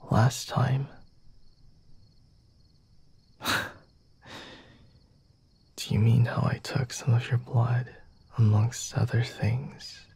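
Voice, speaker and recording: A husky, breathy male vampire played by a man in an intimate ASMR roleplay, murmuring in half-whispers with a gravelly fry. He is self-assured and teasing, condescending yet merciful. His delivery is unhurried and softly mellow, with formal, deliberate wording. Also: medium pitch, no room sound, heavily acted. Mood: teasing, lenient. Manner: slow, deliberate, formal wording with sighs and hums.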